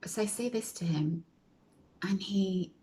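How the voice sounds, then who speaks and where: A woman's voice: medium pitch, measured pace, mellow, slight background noise. A woman vlogger chatting casually to her viewers, telling a story with frequent self-corrections and stretches that drop to a whisper.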